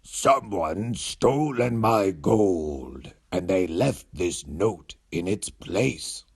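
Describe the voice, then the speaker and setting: Man acting as storybook dragon, tidy, wise, easily upset, grumbling, very slow. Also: sits low, lively intonation, slightly rough, full character voice.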